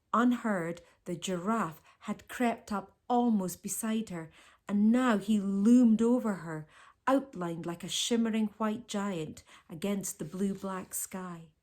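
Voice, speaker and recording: A woman reads a children's novel aloud in the manner of a teacher. Her storytelling is expressive, and her voice sits at an ordinary middle pitch for a woman. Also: slow pace. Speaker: teacher reading aloud to pupils.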